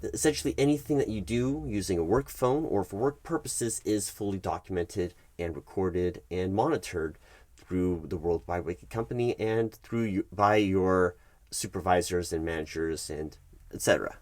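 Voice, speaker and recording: A man's voice: sits low, moderate pace, darkish tone, dry recording, natural voice. A man playing a politely evasive, officious HR manager in an ASMR office roleplay, stammering through formal, bureaucratic wording. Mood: officious, defensive. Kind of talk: ASMR roleplay, one-sided office meeting.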